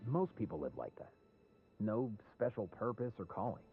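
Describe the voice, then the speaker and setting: A man's voice: on the low side, sing-song, moderate pace, phone-quality sound, soft background music. An actor playing an easygoing, teasing man in a video game, talking in a breezy, casual way. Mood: matter-of-fact. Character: easygoing, teasing male friend, a down-to-earth vet.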